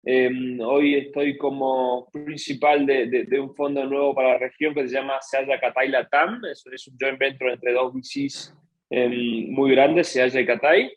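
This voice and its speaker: An Argentine man speaks with an Argentine accent, hesitant and full of fillers and repeated words. His voice comes through a muffled, lo-fi video call at a middling pitch. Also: little pitch movement, measured pace. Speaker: panelist. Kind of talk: webinar self-introduction.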